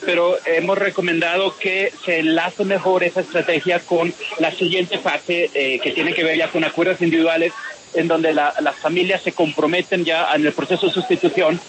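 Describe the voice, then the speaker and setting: A man from Spain with a Spanish accent speaks in a somewhat raised voice and lays out his analysis in a careful, measured way. He often stops on "eh" and restarts his sentences. The line has a clear hiss of noise behind him. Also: fairly high pitch, flat delivery. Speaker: peace process analyst. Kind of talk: phone-in radio interview.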